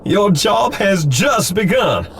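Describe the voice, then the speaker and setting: A man playing a flamboyant, thrill-hungry showman on the edge of madness, drawn-out and swaggering, in an animated game cutscene. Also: high voice, noisy background, full character voice. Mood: showy, teasing. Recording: voice under loud music.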